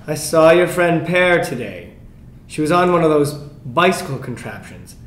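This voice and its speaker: A man's voice: fairly high pitch, very animated, a little slow. Man acting stuffy disapproving relative, echoey. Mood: stuffy indignation. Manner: stuffy, indignant. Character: stern, disapproving traditional brother-in-law. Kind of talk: screenplay table read, dialogue. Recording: echoey room.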